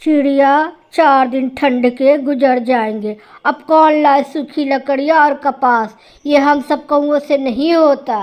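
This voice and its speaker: A woman's voice: high voice, slow pace, few pauses, darkish tone. A woman voicing a lazy, carefree male crow in a cartoon, dismissive and complaining.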